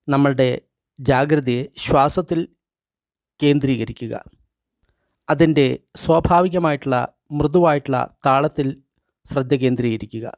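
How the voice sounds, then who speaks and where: A man with a Malayali accent leads a guided meditation in a somewhat high voice. He gives instructions in short, separated phrases, as if reading from a book. The sound is dry and close, with little room echo. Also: a little mellow. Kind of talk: guided meditation instruction, read and translated aloud.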